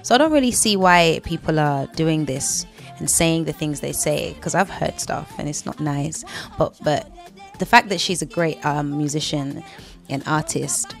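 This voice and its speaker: A young woman from London with a London accent, a singer telling stories in a casual interview, chatty and quick to laugh. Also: low-pitched, creaky, soft background music. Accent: London English. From London, England. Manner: chatty storyteller, quick to laugh, talks through restarts.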